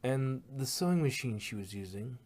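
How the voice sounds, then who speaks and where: A man's voice: mid-range voice, animated, normal speed, darkish tone, lightly acted. A man voicing a refined, apologetic female pony, a caring older sister who speaks politely and carefully. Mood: regretful.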